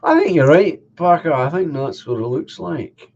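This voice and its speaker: A man's voice: on the high side, expressive, normal speed, a little mellow, muffled recording. An older man with a nasal, slightly congested voice, chatting casually as a stream host and answering viewers' comments one by one. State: coughing, a bit congested. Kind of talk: casual chat.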